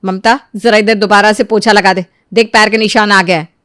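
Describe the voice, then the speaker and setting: A woman's voice: steady tone, normal speed, fairly bright tone, no room sound, studio quality, close to an everyday voice. A woman voicing a haughty, short-tempered young housewife who nags and scolds her maid in a dramatised moral story. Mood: bossy, curt. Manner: shrill, haughty, nagging.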